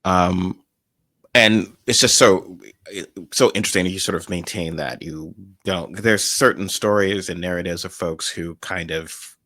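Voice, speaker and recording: A man's voice: deep voice, unhurried, bright, forceful tone, dry recording. A man with an American accent hosting an interview, asking questions in a tentative, roundabout, conversational way. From United States. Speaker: interview host.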